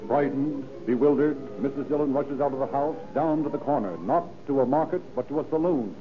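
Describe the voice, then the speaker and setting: A man's voice: mid-range voice, fairly quick. A man narrating an old radio crime drama in a dramatic, scripted style. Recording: old tinny radio recording with noise.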